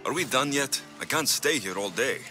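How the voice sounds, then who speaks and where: A man plays a sardonic young Greek mercenary from a video game. He is dry and cocky, his mid-range voice swinging expressively, with music clearly playing underneath. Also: medium pitch, normal speed, natural voice. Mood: deadpan. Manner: dry, deadpan. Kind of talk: video game cutscene acting.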